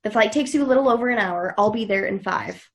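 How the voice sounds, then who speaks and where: A woman's voice: medium pitch, fast talker, natural voice. Casting: bold young woman female actor, mid-range voice, teasing and direct, naturalistic TV drama. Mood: eager, persuasive. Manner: frank, sarcastic. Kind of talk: naturalistic table read of a TV drama.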